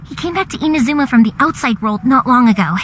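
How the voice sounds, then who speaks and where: A young woman voicing a cheerful fireworks maker who talks in a lively, reassuring way, over game music. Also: high-pitched, quick pace. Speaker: fireworks shop owner. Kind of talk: video game dialogue. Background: game background music.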